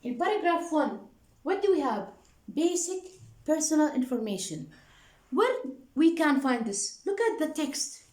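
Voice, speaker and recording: A woman's voice: high-pitched, sing-song, unhurried, darkish tone. A woman teacher giving a classroom lesson, often checking in with her students and using frequent fillers.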